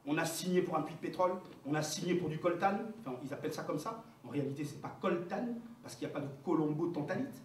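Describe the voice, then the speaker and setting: A man's voice: fairly high pitch, quick pace, few pauses. Distant, reverberant recording with some noise. Male political orator, Congolese accent, didactic and emphatic delivery. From Democratic Republic of the Congo. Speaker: political speaker, activist. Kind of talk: impassioned political speech to a gathering. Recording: distant, echoey room with background noise.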